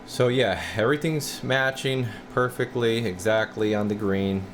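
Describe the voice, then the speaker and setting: A man's voice: mid-range voice, a little slow. A man speaking as a radio repair technician, giving a casual, folksy hands-on walkthrough to camera in an informal way. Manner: folksy, informal, addressing viewers as guys. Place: indoor radio repair workshop. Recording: clear steady room noise.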